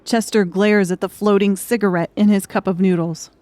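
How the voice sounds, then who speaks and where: A woman's voice: medium pitch, fairly even intonation, normal speed, a little mellow, no room sound. A woman reading scripted fiction narration as an audiobook narrator.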